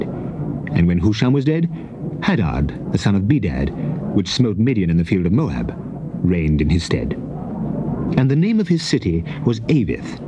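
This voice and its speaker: A man narrating scripture in a solemn, measured, declamatory manner, as in a dramatized King James Bible reading. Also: fairly low pitch. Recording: noisy old cassette tape recording.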